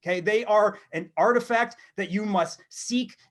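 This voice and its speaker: Wry, higher-voiced man in his thirties. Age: 30s. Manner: wry, halting, self-deprecating. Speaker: podcast co-host. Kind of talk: casual comedic monologue and chat.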